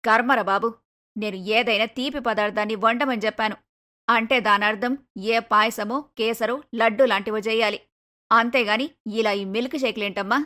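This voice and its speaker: Woman acting a high-voiced, fretful traditional mother-in-law. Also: fairly even intonation, fairly quick, pushed and bright. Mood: dismayed. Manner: chiding, pleading. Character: middle-aged traditional mother-in-law, patient, anxious, reproachful. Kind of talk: animated moral story dialogue.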